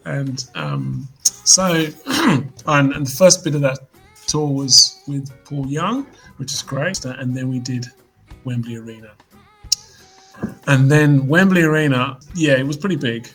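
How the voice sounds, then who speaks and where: A man in his fifties, a musician guest, reflecting on his life in relaxed, hesitant interview talk. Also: medium pitch, unhurried, breathy edge, faint music behind, rough recording. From United Kingdom. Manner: reflective storyteller, hesitant restarts. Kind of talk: casual interview chat.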